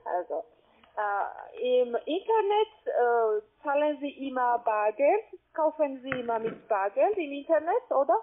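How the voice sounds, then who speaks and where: A woman with a foreign accent giving a halting phone sales pitch as a call-centre agent, often tagging on questions. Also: big pitch swings. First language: not German. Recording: narrow, muffled phone line.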